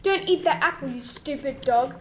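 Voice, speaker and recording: An evil fairy-tale queen, acted by a woman in a high voice, imperious and scheming. She hurls angry, theatrical threats in a children's radio play, with faint background noise. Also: lively intonation, moderate pace, a bit bright. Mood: furious.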